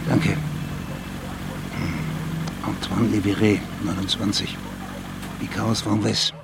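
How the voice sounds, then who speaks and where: A man acting a dry, sceptical high police official in a German radio crime drama. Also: level tone, slow, vocal fry, heavy background noise. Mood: sober, businesslike. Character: sardonic high police official on a secret mission.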